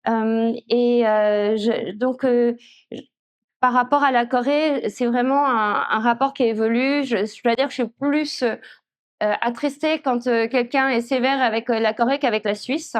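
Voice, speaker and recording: A woman, an author speaking reflectively at a public literary talk, with frequent pauses. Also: fairly high pitch, steady tone. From Switzerland. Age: 50s. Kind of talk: conversational answers at a public talk. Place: festival conference room.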